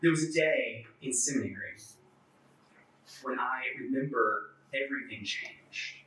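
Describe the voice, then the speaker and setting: A man with an occasional stutter giving a personal, sermon-like talk as clergy, in measured short phrases with deliberate pauses. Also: mid-range voice, bright, forceful tone. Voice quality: stutter, occasional broken fluency. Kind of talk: personal testimony sermon at a church forum. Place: echoey indoor church forum space. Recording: echoey room, distant microphone.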